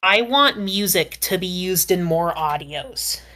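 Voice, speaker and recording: Podcast panelist: woman with a lowish voice, chatting through opinions in a measured, qualifying manner. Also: animated, sharp tone. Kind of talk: casual panel discussion giving opinions.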